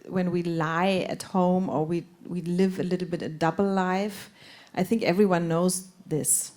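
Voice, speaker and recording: A woman's voice: fairly low pitch, fairly even intonation, a little slow, darkish tone. Hesitant woman actress with lots of restarts. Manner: hesitant, laughing, many restarts. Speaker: actress at a festival panel. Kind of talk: panel talk.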